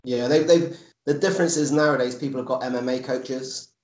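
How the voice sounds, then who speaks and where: A man's voice: medium pitch, fairly even intonation, brisk, darkish tone. A man in his forties with an English accent, chatting casually as a podcast guest in a rambling, repetitive, blunt way. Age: 40s. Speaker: podcast guest, MMA coach and fighter. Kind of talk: casual chat. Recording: echoey room.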